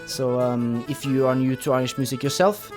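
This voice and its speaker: A man's voice: medium pitch, steady tone, normal speed. A man hosting an instructional guitar video in a conversational interview style.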